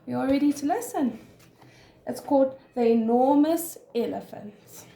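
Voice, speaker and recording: A woman's voice: high-pitched, expressive, a little slow, gravelly, warm tone, roomy sound. A woman reading a children's story aloud as a nursery teacher, expressively and directly to young listeners. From South Africa.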